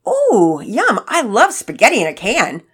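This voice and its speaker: A woman's voice: mid-range voice, big pitch swings, a little fast, voice pushed up. A woman voicing an eager, kindhearted young boy in a story, polite and earnest. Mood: cheerful, eager. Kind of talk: acted character dialogue in children's story narration.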